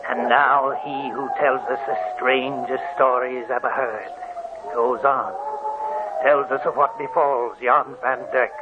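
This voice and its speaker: An actor playing a cackling, ghoulish old hermit who narrates horror tales with slow, theatrical menace in an old radio play. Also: male voice, medium pitch, steady tone, close to an everyday voice. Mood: gleeful, sinister. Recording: noisy, lo-fi old radio recording.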